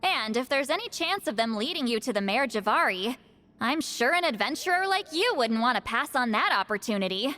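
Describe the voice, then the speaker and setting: A woman playing a proud, sassy young spirit seer in a game cutscene, brash, teasing and expressive. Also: on the high side, fairly quick. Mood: confident, explaining. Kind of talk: animated video game cutscene acting. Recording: long echoing reverb, music underneath.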